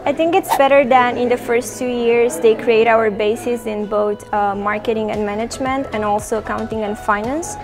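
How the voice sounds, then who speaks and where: A woman's voice: on the high side, fairly even intonation, normal speed, few pauses, over music. An eighteen-year-old woman from Romania, a first-year student, talks casually about her studies with occasional fillers. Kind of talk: student testimonial.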